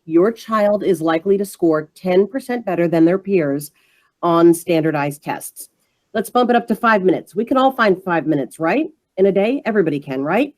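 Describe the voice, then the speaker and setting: Woman, slightly low pitch, workshop presenter, encouraging, emphatic delivery. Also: a little mellow. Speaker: parent program presenter. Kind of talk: interactive online workshop presentation.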